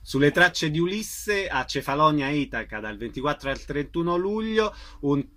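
Man, radio host, slightly high pitch, bright tone, interview presenting. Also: animated, normal speed.

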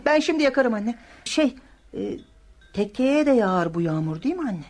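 Eager high-voiced shepherd boy. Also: normal speed. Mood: excited, pleading. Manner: excitable, pleading. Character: eager young shepherd boy, dreamy and devout. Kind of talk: Turkish radio theatre acting. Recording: noisy radio drama recording.

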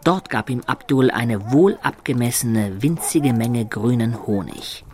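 A man plays a worldly medieval adventurer telling the tale of his life. His voice sits at a middle pitch, reflective and confiding, in radio drama style with faint ambience behind it. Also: lively intonation, unhurried, darkish tone, slight background noise, natural voice. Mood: amused, recounting. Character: Baudolino, worldly medieval adventurer recounting his wild youth.

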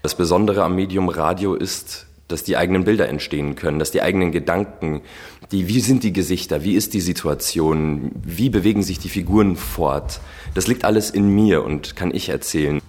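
A man's voice: low-pitched, a little fast, darkish tone. A man in his early thirties, an acting student, talking reflectively and articulately in long winding sentences in an interview.

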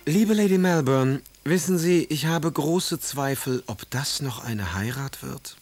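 An actor playing a moody, sardonic aristocratic poet in a German radio play, speaking letters in wry, eloquent phrases. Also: male voice, mid-range voice, animated, measured pace, darkish tone, faint music behind.

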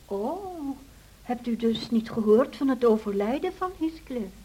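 An actress playing a kindly, talkative housekeeper who confides news in a radio play. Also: female voice, on the high side, lively intonation, measured pace, mellow, slight background noise. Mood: confiding. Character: kindly, chatty housekeeper and old friend.

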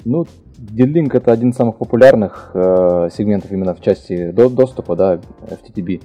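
A man's voice: lowish voice, a little fast, highs cut off, faint music behind. A man answering as an interview guest, hesitant and padding his explanation with filler phrases. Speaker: guest, telecom equipment specialist.

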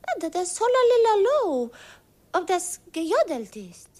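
An actress playing a sweet, playful young Hungarian girl in a radio play, speaking halting German with a Hungarian accent and mixing up words. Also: very high-pitched, big pitch swings, darkish tone, full character voice. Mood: charmed, curious. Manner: broken, halting German with wrong word order.

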